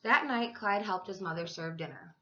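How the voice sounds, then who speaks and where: A woman's voice: medium pitch, expressive, normal speed, bright, forceful tone, lo-fi sound, slight background noise. A woman reading a children's storybook aloud expressively, like a teacher to her class. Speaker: school teacher reading to third graders.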